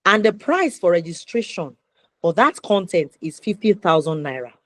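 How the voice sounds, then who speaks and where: A woman with a Nigerian accent hosting an interview show, speaking in deliberate, emphatic phrases and posing rhetorical questions. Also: fairly low pitch, sing-song. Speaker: talk show host.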